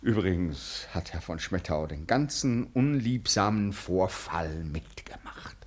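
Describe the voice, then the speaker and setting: A stern police chief in a German radio play. His voice is low and moves slowly, with lively rises and drops. He sounds formal, officious and dryly sarcastic. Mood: exasperated, sarcastic.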